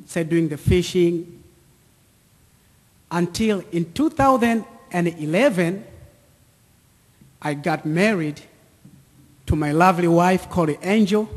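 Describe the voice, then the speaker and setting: A man in his thirties with an East African accent, giving a personal testimony to an audience in deliberate, short repeated phrases. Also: sits high. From Central or East Africa, near Lake Tanganyika. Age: 30s. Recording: echoey hall with long reverb.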